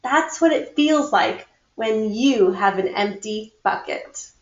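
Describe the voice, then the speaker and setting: High-pitched woman reading a picture book, expressive. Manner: acts out dialogue, pauses to comment to listeners. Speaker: read-aloud storyteller for schoolchildren. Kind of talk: expressive children's picture book read-aloud. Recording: muffled, echoey room recording.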